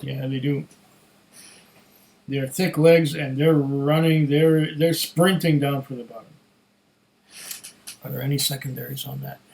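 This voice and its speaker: A man with a slightly hoarse voice giving a casual solo tasting review, musing in an unhurried, savoring way and talking to himself. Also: mid-range voice, steady tone, darkish tone, poor audio. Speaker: whisky reviewer.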